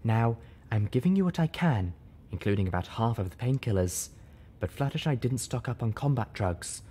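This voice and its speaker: A man voicing a female unicorn pony medic, prim, caring and gently scolding, in an audiobook story. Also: medium pitch, animated, moderate pace, breathy edge. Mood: stern caution.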